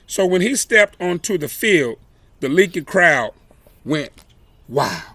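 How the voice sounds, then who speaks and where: Slow, bright-voiced man reading a children's story. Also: on the high side, sing-song, breathy edge, dry recording. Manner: dramatic, deliberate phrasing with exclamations acted out. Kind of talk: expressive read-aloud of a children's picture book.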